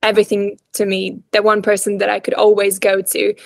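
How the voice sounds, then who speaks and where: A woman in her twenties from Latvia with a Latvian accent, a podcast guest telling her faith story reflectively, with many pauses and ums. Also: mid-range voice, completely flat delivery, gravelly, no echo. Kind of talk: personal faith story in an interview.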